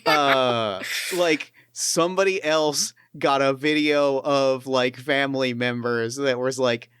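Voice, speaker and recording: Smooth-voiced enthusiastic male game master. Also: mid-range voice, steady tone, slow, a bit bright, no room sound, studio quality. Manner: enthusiastic, profane, stumbles over restarts. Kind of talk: actual-play tabletop narration.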